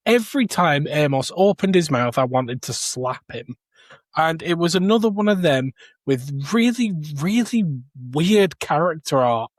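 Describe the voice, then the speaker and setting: Male co-host with a slightly high voice, blunt and profane casual critic, dry close sound. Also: no echo. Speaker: podcast co-host. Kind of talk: casual opinionated review.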